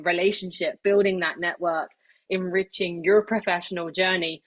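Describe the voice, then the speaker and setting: A woman giving an informal online presentation, chatty, with many fillers and restarts. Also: on the low side. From not Irish, living in Ireland. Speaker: webinar speaker. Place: online webinar, video call.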